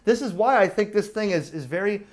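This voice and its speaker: Chatty American man with a higher voice. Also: fairly quick. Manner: chatty, informal, explains step by step. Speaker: host of a radio review channel. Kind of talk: casual product review and demonstration.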